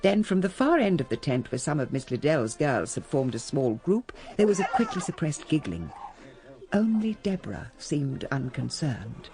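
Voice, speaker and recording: An actress playing a composed, genteel middle-aged English lady in a radio drama, speaking with measured grace. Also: low voice, big pitch swings. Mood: grave recollection. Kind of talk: radio drama acting and first-person narration.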